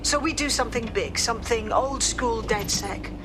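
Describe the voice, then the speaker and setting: An actress playing a brisk, blunt female hacker leader, in a video game. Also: on the high side, over music, close to an everyday voice. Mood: determined.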